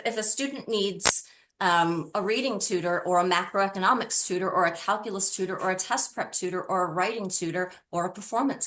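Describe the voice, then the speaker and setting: A middle-aged woman speaks as a guest in a conversational interview. Her voice sits a little low. She is earnest and puts emphasis on the points she cares about. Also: a bit bright. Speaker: interview guest, tutoring business founder.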